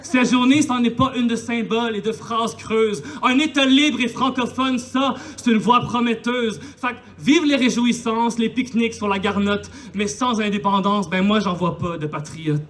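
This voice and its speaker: High-pitched Quebecois man reciting poetry. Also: normal speed, hardly any pauses, a bit bright. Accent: Quebec French. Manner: impassioned, declamatory, colloquial. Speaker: poet. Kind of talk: spoken-word poetry recited at a public celebration. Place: outdoors in a park.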